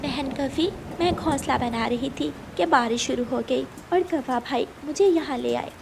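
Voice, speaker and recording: A woman acting as a soft-hearted cartoon mother sparrow with a very high voice. She is humble and polite, with a plaintive edge. Loud storm noise runs behind her. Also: moderate pace, gravelly. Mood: polite, meek. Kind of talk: Hindi children's cartoon story acting. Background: thunder and rain.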